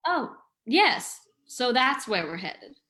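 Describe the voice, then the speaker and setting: A brisk lady companion to a radio detective played by a woman, with a bright, fairly high voice. She is wry and inquisitive, in old-time radio drama style. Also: big pitch swings, heavily acted. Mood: puzzled.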